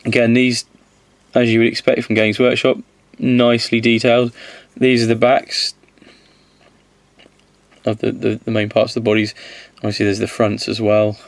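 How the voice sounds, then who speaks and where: A man with a slight cold-hoarse voice, casually reviewing miniatures, rambling and correcting himself as he goes. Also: medium pitch, completely flat delivery, moderate pace. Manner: rambling, self-correcting, counts aloud.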